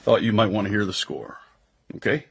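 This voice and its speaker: An actor with an American accent playing a tough, loyal intelligence agent in a radio drama, husky, wry and slangy. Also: male voice, lowish voice, expressive, fairly quick, a bit bright, exaggerated voice. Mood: wry, breezy.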